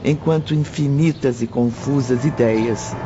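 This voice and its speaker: A man's voice: medium pitch, a little slow, noisy background. A man narrating an audiobook drama in a solemn, dramatic manner. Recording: dull lo-fi audio under music.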